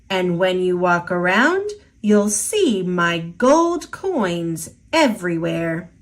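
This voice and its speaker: Woman as tiny ancient male leprechaun, mischievous trickster, taunting, sing-song storybook rhymes, very drawn-out pacing, swooping pitch. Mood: sly, mischievous. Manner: playful, taunting, sing-song rhyming delivery. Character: boastful, mischievous little male leprechaun, two hundred years old.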